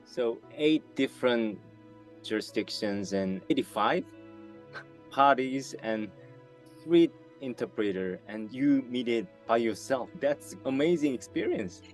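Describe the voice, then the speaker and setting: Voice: mid-range voice, lively intonation, measured pace. Polite host asking short questions, lots of mm and i see, faint music behind. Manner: polite, brief affirmations, slight non-native phrasing. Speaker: interview host. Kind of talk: interview questions.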